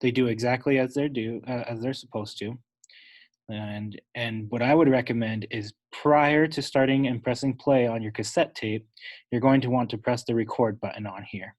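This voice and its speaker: A man giving an unscripted, instructional step-by-step software tutorial, talking wordily and correcting himself as he goes. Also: mid-range voice, steady tone, normal speed. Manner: instructional, wordy, with self-corrections.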